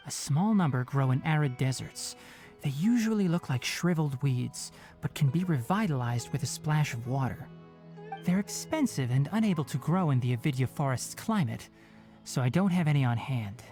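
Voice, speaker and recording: A calm, stern forest healer. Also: male voice, a little high. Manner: brisk, level-headed and caring. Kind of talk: video game voice acting.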